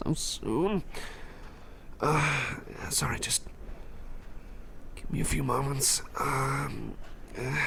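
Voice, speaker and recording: A man's voice: mid-range voice, creaky, a bit bright, a little hiss or hum. A man playing a jittery, socially anxious, over-caffeinated guy in an ASMR roleplay, rambling and stammering as he repeats himself. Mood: pained, anxious. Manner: rambling, stammering, repeats phrases, self-doubting. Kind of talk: ASMR roleplay monologue addressed to the listener.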